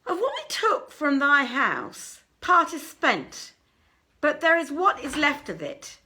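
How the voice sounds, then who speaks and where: A woman's voice: high voice, wildly swooping pitch, takes it slowly, sharp tone, pitched above the performer's own voice, heavily acted. A woman voicing a commanding band of robber brothers in a storybook, with solemn, archaic phrasing. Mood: conceding.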